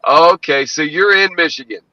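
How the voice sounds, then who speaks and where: Bright-voiced, casually profane man. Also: fairly high pitch, moderate pace, dry recording. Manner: profane, rambling. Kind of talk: casual chat. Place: indoors, online video call. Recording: slightly dull lo-fi audio.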